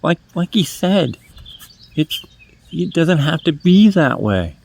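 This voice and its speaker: A man's voice: fairly high pitch, very animated, takes it slowly, gravelly, a little mellow. A man over fifty from New Hampshire with a New England accent, rambling through his first book vlog, self-deprecating and correcting himself as he goes. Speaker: first-time book vlogger. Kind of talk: casual rambling monologue. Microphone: phone held in hand.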